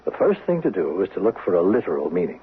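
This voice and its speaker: An actor playing a frank, calmly authoritative psychiatrist in a 1970s radio drama, questioning with measured pauses. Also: male voice, deep voice, slight background noise. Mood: frank, explaining. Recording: thin narrow-band vintage radio broadcast.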